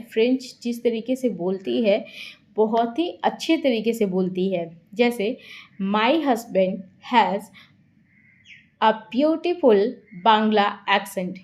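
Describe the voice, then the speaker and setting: A woman teaching English vocabulary in Hindi, repeating each word and example sentence slowly to explain it. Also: fairly high pitch, a little mellow, muffled recording. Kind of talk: slow explanatory lesson.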